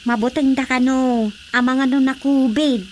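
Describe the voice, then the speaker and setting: A woman's voice: high voice, steady tone, normal speed, darkish tone, lightly acted. An actress playing a worried village woman in a radio drama. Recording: radio drama with faint noise.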